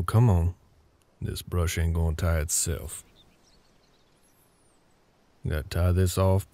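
A man voicing a gruff, terse older cowboy with a Southern US drawl and a gravelly voice in a roleplay audio drama. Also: low-pitched, dark tone. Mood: irritated, gruff. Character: gruff older cowboy ranch hand, grumpy, curt, grudgingly helpful.

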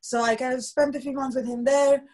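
Woman, thirties, husky, animated storyteller, fairly high pitch, video call. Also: a little fast. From Luxembourg. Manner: animated storytelling, laughs often. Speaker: musician guest. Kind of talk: casual video call interview. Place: home room, indoors.

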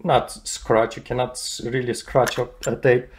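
A man giving a casual, unscripted how-to demonstration in a halting, hesitant way, searching for words as he goes. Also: mid-range voice, flat delivery, a little hiss or hum. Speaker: hobbyist demonstrator. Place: indoors at home beside hi-fi equipment.

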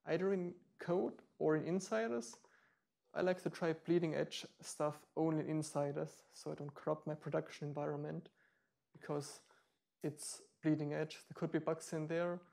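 A man in his thirties giving a live software demo talk at a meetup, explaining step by step with frequent ums and restarts. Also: on the high side, vocal fry. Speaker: meetup speaker. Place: meetup room.

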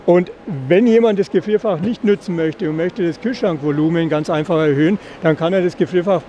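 A middle-aged man explaining product features in an interview, slightly halting and repeating words. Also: sits high, warm tone, highs cut off. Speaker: caravan company representative. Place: caravan at an indoor trade fair. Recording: clear background noise of busy trade fair.

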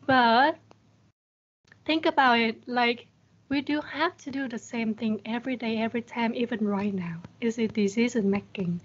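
Young woman doing a chatty motivational talk, lots of rhetorical questions, highish voice, bit muffled call audio. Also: moderate pace. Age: young adult. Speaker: guest speaker. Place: indoors on a group video call. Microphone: video call. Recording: dull video-call audio.

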